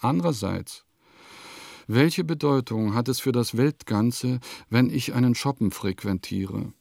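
An actor playing a sardonic, musing composer of the nineteenth century in a radio play, with measured, formal diction and a gravelly voice. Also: male voice, fairly low pitch, warm tone, no echo, clean studio sound. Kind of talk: literary radio play monologue.

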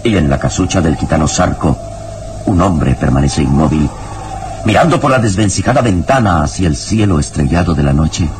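A man's voice: low voice, expressive, moderate pace, over music. A man narrating an old radio serial in a grave, ornate, suspenseful style. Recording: noisy old radio drama recording.

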